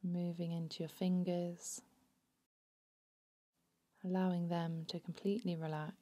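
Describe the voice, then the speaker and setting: A woman narrating a guided body scan meditation in a soothing, unhurried, hushed manner. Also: on the low side, little pitch movement.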